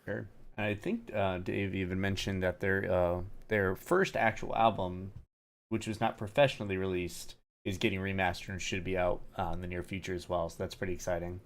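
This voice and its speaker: Man with a lowish voice, chatting casually. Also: steady tone, normal speed. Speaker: co-host. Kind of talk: casual chat commentary.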